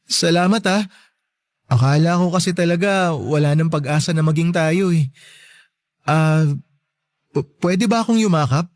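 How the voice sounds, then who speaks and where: A man playing a shy, apologetic young boyfriend in a radio drama, speaking gently and a little hesitantly. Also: a little high, measured pace, long pauses, dry recording, natural voice. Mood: shy, grateful.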